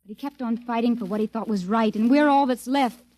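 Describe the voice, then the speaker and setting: A woman's voice: on the high side, brisk, a little mellow, no echo, natural voice. An actress playing a defiant, idealistic woman captive, earnest and emphatic, in 1950s radio drama style. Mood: earnest, pleading. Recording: dull, band-limited 1950s radio recording.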